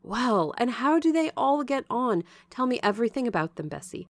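A woman's voice: on the high side, sing-song, moderate pace, no room sound, clean studio sound, pitched above the performer's own voice. A woman voicing an eager, affectionate young governess in a storybook, asking questions in a genteel manner. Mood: eager curiosity. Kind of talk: storybook character dialogue.

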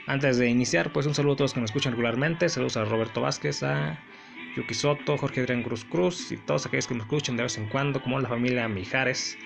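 A male podcast host with a Mexican accent and a mid-pitched voice full of gravelly creak. He tells his story casually and bluntly, wandering as he goes with a sardonic edge. Music plays clearly behind his voice. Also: fast talker.

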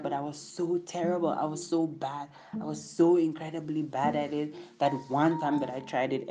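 A woman speaking candidly in a casual motivational monologue, acting out what people say. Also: low voice. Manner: candid, animated, acts out quoted remarks. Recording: music playing underneath.

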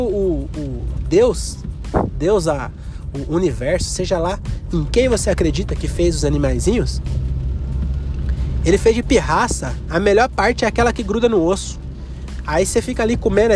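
A young man hosting a solo podcast, rambling and joking with crude slang, coughing from a cold. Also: high-pitched. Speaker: podcast host. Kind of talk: solo comedic podcast monologue.

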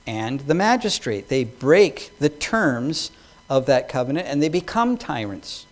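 A man preaching a sermon to his congregation, building emphasis as he reads scripture aloud. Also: on the high side. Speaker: pastor. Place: church hall with congregation.

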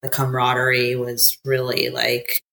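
A woman's voice: extremely low pitch, completely flat delivery, moderate pace, vocal fry, a bit bright. An American woman from the United States talking as an interview guest in a chatty way, with many fillers and easy laughs. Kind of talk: casual interview conversation.

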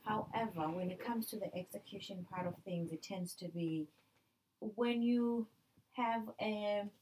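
A woman's voice: medium pitch, measured pace, low-quality recording. A woman from Canada in a casual conversation, talking animatedly and using playful examples. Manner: animated, uses playful examples and acted-out quotes.

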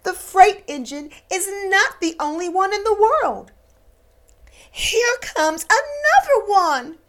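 A woman's voice: extremely high pitch, big pitch swings, takes it slowly, a bit bright, pitched above the performer's own voice, heavily acted. A woman voicing an eager little male toy clown in a storybook reading, pleading for help.

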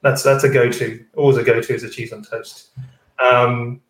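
A man's voice: medium pitch, level tone, moderate pace. A man hosting a casual webinar, rambling with lots of fillers and "kind of" hedges. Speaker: stand-in host. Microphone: video call. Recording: roomy sound, distant from the mic.

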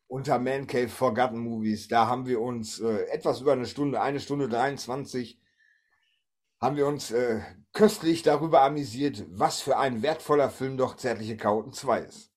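A man's voice: medium pitch, normal speed. A man hosting a podcast in casual chat. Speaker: podcast host.

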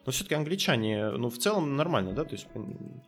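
A man's voice: medium pitch, very animated, fast, soft background music. A man with a southern Russian accent from the Kursk region, interviewing casually and asking hesitant questions full of fillers. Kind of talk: casual conversation.

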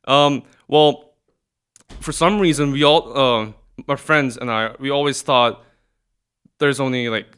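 Young man, smooth clear voice. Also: medium pitch, measured pace, long pauses, a bit bright. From South Korea. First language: Korean. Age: young adult. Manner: hesitant, many restarts and fillers, laughs often. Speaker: guest. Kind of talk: casual chat.

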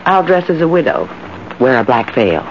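A woman's voice: extremely low pitch, very animated, moderate pace, full character voice. Ruthless female schemer, domineering, swooping delivery, 1940s radio thriller, muffled noisy old recording. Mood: commanding, cold. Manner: decisive, domineering, crisp orders.

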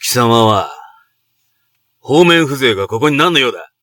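Casting: proud, middle-aged feudal commander and father; forceful, sharp tone, archaic imperious diction, very dry close sound. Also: mid-range voice, animated, measured pace, no echo. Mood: indignant, contemptuous. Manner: archaic, imperious samurai speech. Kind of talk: Japanese period voice drama acting.

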